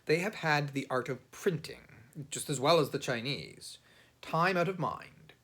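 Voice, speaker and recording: A man narrating a classic satirical novel as an audiobook reader, in a theatrical, emphatic manner with dramatic pauses. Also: on the high side, expressive, fairly bright tone.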